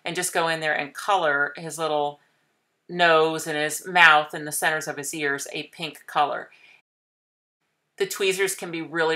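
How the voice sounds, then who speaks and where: A woman in her thirties explains a papercraft project one step at a time, gentle and unhurried. Her voice sits low but has a bright, forward edge to it. She sounds like a patient teacher at her craft table. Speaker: craft tutorial host. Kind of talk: calm step-by-step craft demonstration.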